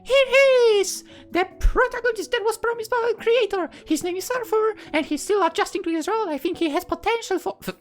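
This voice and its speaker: A woman voicing an excitable, bossy teenage girl, a perky and theatrical visual novel character. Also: very high voice, brisk, soft background music, pitched above the performer's own voice. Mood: bubbly, eager. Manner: perky, theatrical, indignant when teased. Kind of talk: anime-style game character voicing.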